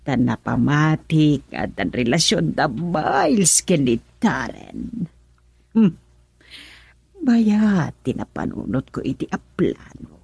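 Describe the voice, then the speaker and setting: A woman's voice: low voice, very animated, slow, vocal fry, a little mellow, slightly rough, dry recording, heavily acted. An actress playing a scheming, gloating woman in an Ilocano radio drama, with an Ilocano accent. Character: scheming gloating woman plotting over a romance. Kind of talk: Filipino radio drama acting.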